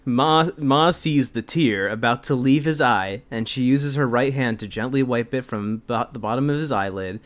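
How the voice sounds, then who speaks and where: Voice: mid-range voice, moderate pace, hardly any pauses. A reader in their twenties giving a dramatic reading, often breaking character with giggly, incredulous commentary. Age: 20s. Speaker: host of a comedic dramatic fanfiction reading.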